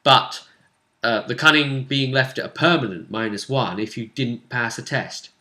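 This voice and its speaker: A man's voice: medium pitch, moderate pace, pushed and bright. A man hosting as game master, casually recapping a tabletop roleplay session. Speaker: game master and show host.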